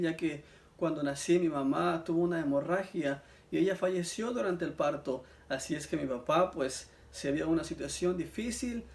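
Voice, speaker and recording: A man's voice: on the high side, vocal fry, darkish tone. A man from Mexico with a Mexican accent, sharing his personal religious testimony earnestly and addressing listeners as brothers. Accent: Mexican Spanish.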